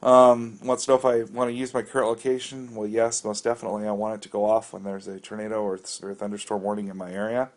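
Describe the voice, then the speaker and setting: A man's voice: fairly low pitch, little pitch movement, fast, hardly any pauses. An American man narrating a casual, unscripted app walkthrough in a conversational, explanatory and somewhat hesitant way. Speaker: app reviewer giving a walkthrough.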